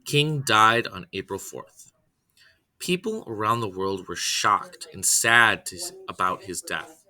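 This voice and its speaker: A man reading a children's biography aloud from a script, phrase by phrase, in a deliberate way. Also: fairly low pitch, bright, forceful tone. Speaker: reader, storyteller.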